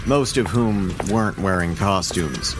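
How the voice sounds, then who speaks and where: Casting: a dry, sardonic man in his thirties telling his own tale, lowish voice, audio drama narration. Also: measured pace, background noise. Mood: wry. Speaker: first-person narrator.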